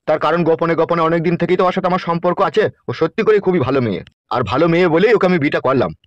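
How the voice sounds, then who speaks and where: Cartoon politician, male actor, crude smooth talker, quick delivery, close dry sound, West Bengal Bengali accent. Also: a little high, animated, no echo, muffled recording, natural voice. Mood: bossy, crude. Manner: crude, brash, smooth-talking. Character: scheming, lecherous, bossy male politician. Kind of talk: comedy cartoon voice acting.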